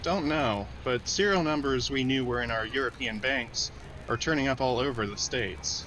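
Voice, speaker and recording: Stern intelligence official male actor, mid-range voice, measured radio mystery delivery, slight background noise. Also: medium pitch, close to an everyday voice. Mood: businesslike. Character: grim male government intelligence officer briefing an agent. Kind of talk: old-time radio drama acting.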